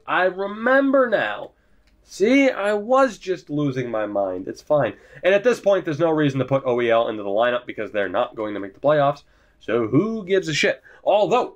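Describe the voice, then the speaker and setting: A man's voice: medium pitch, highs cut off. A young man giving casual, rambling commentary over a hockey video game, swearing often and joking at his own expense. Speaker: gaming YouTuber.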